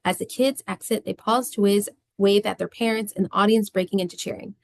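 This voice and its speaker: A woman's voice: mid-range voice, steady tone, fairly quick, darkish tone. A woman reading scripted stage directions as narrator of a screenplay table read.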